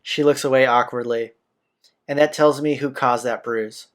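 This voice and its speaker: A man's voice: mid-range voice, fairly even intonation, normal speed. A man hosting a game playthrough, informally commenting and reading the story text aloud in a plain way. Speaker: playthrough host. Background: game soundtrack music.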